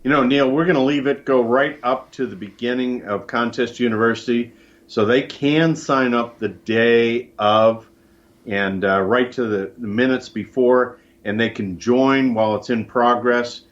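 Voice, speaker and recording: A man's voice: mid-range voice, fairly even intonation, measured pace. A man from the United States, a guest on a live show, explaining things conversationally with promotional enthusiasm. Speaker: guest, contest organiser. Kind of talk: conversational live interview by call-in. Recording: dull, band-limited call-in line.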